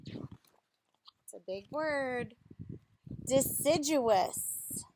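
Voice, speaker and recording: A woman with a high voice reads a children's book aloud at a slow pace. Her delivery is deliberate and instructive, like a teacher guiding young listeners with questions. The recording has clear noise behind her. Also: animated, darkish tone, rough recording.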